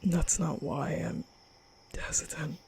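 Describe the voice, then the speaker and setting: A man playing a nervous, kind-hearted young vampire in an ASMR roleplay, speaking softly and often whispering, with hesitant, flustered stammering. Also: a little high, fairly even intonation, takes it slowly, slight background noise. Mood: hesitant, softened. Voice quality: soft, breathy, often whispering.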